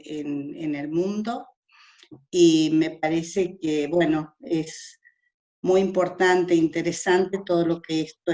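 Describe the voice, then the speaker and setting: Slow, hesitant woman host. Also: low voice, darkish tone. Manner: hesitant with many fillers. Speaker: host introducing a guest. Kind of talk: online talk introduction. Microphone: video call.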